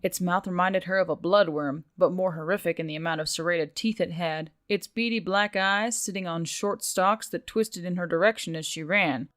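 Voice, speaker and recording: A woman's voice: lowish voice, fairly bright tone. A woman reading scripted story narration as the narrator, with music behind her.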